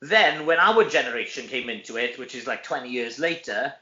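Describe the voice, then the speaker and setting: A man's voice: medium pitch, expressive, brisk, sharp tone, reverberant, muffled recording. A middle-aged Welsh man, an actor chatting casually as an interview guest, informal and full of tag questions. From Wales.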